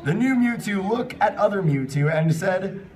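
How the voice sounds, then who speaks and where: An animated man with a fairly high voice narrates fan fiction to a live panel, dropping in sarcastic asides. He sounds distant from the mic in a noisy convention room. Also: normal speed. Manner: joking, sarcastic asides between read lines.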